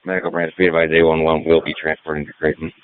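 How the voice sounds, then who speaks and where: A man's voice: rumbling low, little pitch movement, fast talker, smooth. A man working as an emergency medical responder, giving clipped, procedural status reports over a two-way radio. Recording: tinny narrow-band radio channel.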